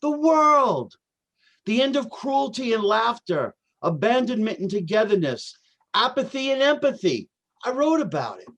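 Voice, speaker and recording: Male actor as paranoid homeless young prophet: high-pitched, slow, halting delivery, dramatic stage-play intensity. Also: big pitch swings, fairly bright tone, full character voice. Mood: fearful, urgent. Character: troubled homeless young man, paranoid doomsday poet-prophet. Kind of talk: staged play reading, reciting verse.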